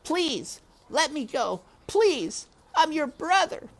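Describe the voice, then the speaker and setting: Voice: sits high, very animated, slow pace, pitched above the performer's own voice, full character voice. A reader voicing a small, earnest young male mouse with a thin little pleading voice, in a storybook read-aloud. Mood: desperate, pleading.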